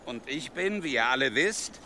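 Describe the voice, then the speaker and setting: A man's voice: medium pitch, lively intonation, normal speed, pushed and bright, a little hiss or hum. A man playing a coarse, mocking medieval crossbow guard in a radio drama, sneering and vulgar. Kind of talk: German radio drama acting.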